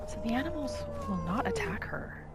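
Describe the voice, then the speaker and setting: A woman gently narrating a relaxing game roleplay in a soft, soothing manner. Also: high-pitched, sing-song, a little fast. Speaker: gameplay narrator. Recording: voice over loud game music.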